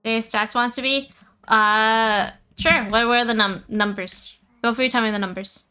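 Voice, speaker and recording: A woman's voice: a little high, fairly even intonation, a little slow, sharp tone, clear, resonant tone. A woman hosting a gaming livestream, thinking aloud casually and chatting informally with her viewers, often repeating herself. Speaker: livestream host. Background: music.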